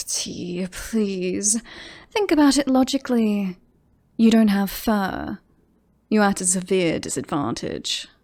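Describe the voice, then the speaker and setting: A woman playing a possessive, lovestruck female werewolf in a roleplay audio drama, teasing and boastful, often slipping into breathy whispers. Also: medium pitch, animated, a little mellow. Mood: dry, possessive. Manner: slow, breathy asides, often dropping into whispers.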